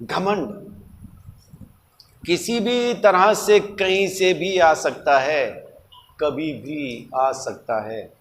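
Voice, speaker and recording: A man's voice: fairly high pitch, extremely slow, pauses often, a little hiss or hum. A man preaching a sermon in an emphatic, teaching manner, using rhetorical questions and repeating key words. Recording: long hall reverb.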